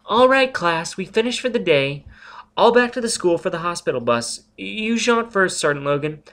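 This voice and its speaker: A man reading aloud, voicing a lonely, nervous young woman telepath who teaches a class, in a prim, high-strung manner. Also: expressive, moderate pace, a bit bright. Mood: flustered.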